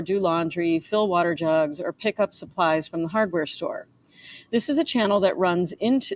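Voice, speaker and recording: Woman, lower-pitched voice, author narration, memoir reading. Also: a little hiss or hum. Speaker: author reading own book. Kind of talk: audiobook-style narration of a memoir.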